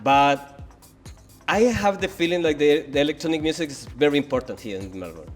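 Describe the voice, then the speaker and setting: A man with a Spanish accent hosting an interview in halting English, often searching for words. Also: fairly high pitch, expressive, faint music behind. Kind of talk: interview host.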